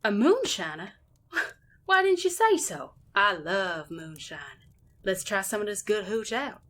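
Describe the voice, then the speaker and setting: A woman's voice: medium pitch, sing-song, a little slow, fairly bright tone. Woman playing a folksy, swooping healer woman. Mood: delighted. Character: folksy, grateful, mysterious Cajun healer woman with magic. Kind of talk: audio drama acting.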